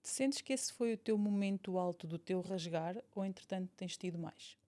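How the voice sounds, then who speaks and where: A woman with a European Portuguese accent and a moderately low voice leads an informal podcast interview. She puts personal, probing questions to her guest in a conversational way. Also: moderate pace, warm tone.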